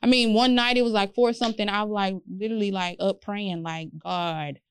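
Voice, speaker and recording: A woman's voice: medium pitch, fairly even intonation, fairly quick, slight background noise. A young woman from Houston, a stuffy nose and a candid, rambling way of telling a story, chatting with her podcast co-hosts. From Houston, Texas. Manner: candid storytelling, lots of "like" and "literally". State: stuffy, congested. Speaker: podcast co-host. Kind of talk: casual chat.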